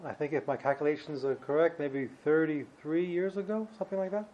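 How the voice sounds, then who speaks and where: A man in his fifties with a New York accent, giving an informal personal talk as a guest speaker in a halting, slightly uneasy way. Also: a little high, fairly even intonation, dull audio, slight background noise. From New Jersey and Brooklyn, New York, United States. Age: 50s. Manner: hesitant, halting, slightly ill at ease. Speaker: guest speaker, former yeshiva student.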